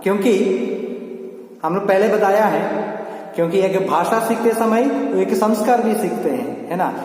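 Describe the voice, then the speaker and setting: Slow-talking Malayali man teaching, echoey room. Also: high voice, darkish tone. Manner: patient, repeats with tag questions. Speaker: language teacher. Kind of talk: online language lesson.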